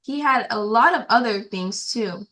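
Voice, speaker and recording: A woman reading a story aloud to children in an expressive, emphatic way, addressing young listeners directly. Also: on the high side, measured pace.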